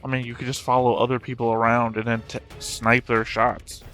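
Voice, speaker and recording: Man giving dry, low-key reaction commentary. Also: little pitch movement, fairly quick, fairly bright tone, faint music behind. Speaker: reaction show host. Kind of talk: casual reaction commentary over an animated show. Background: soundtrack of the show being watched.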